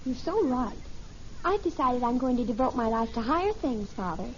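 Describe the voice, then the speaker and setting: An actress playing an excitable, dramatic young daughter in a 1950s radio family sitcom. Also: female voice, fairly high pitch, normal speed. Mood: theatrically indignant. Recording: muffled, noisy vintage radio recording.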